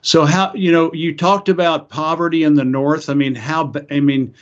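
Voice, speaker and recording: A man from Eastern Oklahoma with an American accent, interviewing a guest in a casual, halting conversation full of restarts. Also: fairly high pitch, steady tone. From Eastern Oklahoma, United States. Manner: halting, many restarts, chuckles often. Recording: muffled lo-fi audio.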